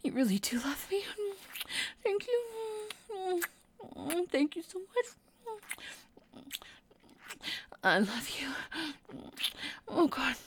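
A woman playing a guilt-ridden, devoted and dangerous lover in an intimate roleplay audio drama, with a breathy, emotional delivery. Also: high-pitched, slight background noise. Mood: tender, weepy. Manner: breathy, emotional delivery sliding between sobs and whispers. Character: devoted, guilt-ridden female psychopath lover, tearful and clingy.

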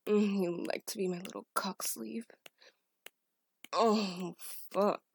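A woman in a scripted adult audio roleplay, speaking as a dominant partner in a breathy, teasing, commanding whisper. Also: medium pitch, steady tone, normal speed, a little mellow.

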